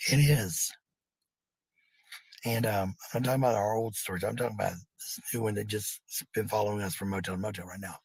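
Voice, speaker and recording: A man's voice: on the low side, steady tone, moderate pace, vocal fry, pauses often, a little mellow, husky, no room sound, poor audio. A middle-aged man talking casually in a rambling monologue, blunt and defensive, with some swearing. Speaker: parent talking about family life.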